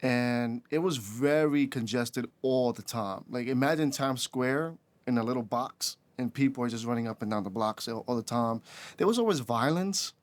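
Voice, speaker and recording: A man in his twenties from the Dominican Republic, a podcast guest who tells his story in a halting way with many restarts. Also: mid-range voice. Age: 20s. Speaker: podcast guest, rideshare driver. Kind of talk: casual interview chat.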